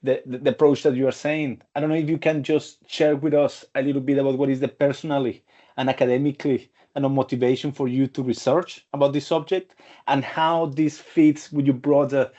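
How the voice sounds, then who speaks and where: A male host asks interview questions in a polite, hesitant way, stumbling over his words. His voice is mellow and relaxed. It arrives muffled, as over a video call. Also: medium pitch, fairly quick. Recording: dull video call audio.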